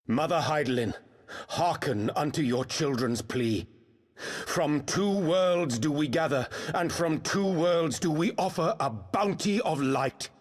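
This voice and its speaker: An actor playing a solemn scholar mage in a game cutscene, with formal, archaic, incantatory diction. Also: male voice, a little high, measured pace. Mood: reverent, beseeching. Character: solemn, reverent male scholar mage in prayer. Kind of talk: dramatic video game cutscene acting. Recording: long hall reverb.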